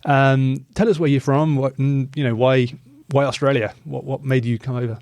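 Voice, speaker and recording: Male podcast host, relaxed. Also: medium pitch, normal speed, dry recording. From England. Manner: friendly, loose, filler-heavy. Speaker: podcast host, mortgage broker. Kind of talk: podcast interview.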